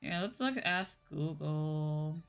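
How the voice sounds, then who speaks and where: A woman's voice: low voice, animated, slow, creaky, clean tone. A woman livestreamer chatting casually with her viewers, with music playing behind her. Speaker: livestreamer, VTuber host.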